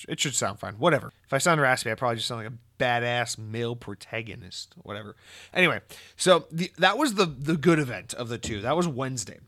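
A young man hosting a gaming podcast, talking in a casual, opinionated way, his voice hoarse and tired, blunt and swearing freely. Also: medium pitch, lively intonation, fairly quick, fairly bright tone.

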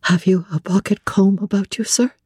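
A woman voicing a devoted young woman, tender, practical and gently teasing, with composed, formal speech in a dramatic audiobook. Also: mid-range voice, moderate pace, husky, no room sound, studio quality, close to an everyday voice. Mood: playful teasing. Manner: composed, playful, formal old-fashioned speech. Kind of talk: dramatic literary audiobook reading.

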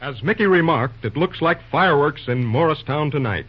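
A man announcing in scripted old-time radio serial style, with a husky voice. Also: fairly high pitch, lively intonation, normal speed. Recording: muffled old radio recording.

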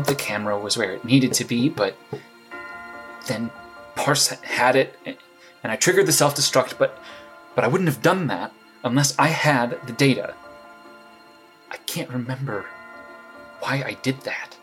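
A man's voice: mid-range voice, moderate pace, pauses often, fairly bright tone, faint music behind, lightly acted. An actor playing a rattled young male hero who stammers anxiously as he records a case log in an audio drama. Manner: stammering, anxious self-talk. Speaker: superhero keeping a case log.

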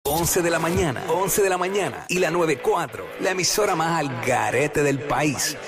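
A man's voice: fairly high pitch, animated, moderate pace. A man announcing a radio show intro in a punchy, sing-song promo style. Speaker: station imaging announcer. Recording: music bed under voice. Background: hip hop music.

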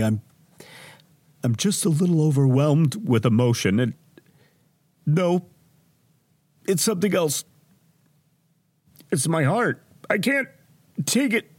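An actor playing a grandiose, sentimental radio host in an audio drama, with a pompous, melodramatic delivery. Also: male voice, medium pitch, darkish tone, no room sound. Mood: melodramatic anguish.

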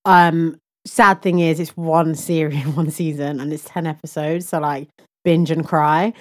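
A woman co-hosts a podcast in a fairly low-pitched voice. She chats casually and informally, dropping in slang as she goes. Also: steady tone, measured pace, a little mellow. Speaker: podcast co-host. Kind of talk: casual chat.

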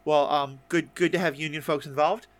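A man's voice: fairly high pitch, steady tone, moderate pace. A man hosting a casual interview podcast, talking enthusiastically in rambling questions. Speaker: podcast host interviewing guests.

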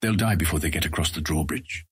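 A man playing a cold, stern ranger in a fantasy audiobook, speaking in a flat, husky voice with curt, formal commands. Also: rumbling low, fast, dry recording, studio quality, full character voice. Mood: gruff, composed. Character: cold, stern ranger guarding the road, older than Raylan. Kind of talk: full-cast fantasy audiobook acting.

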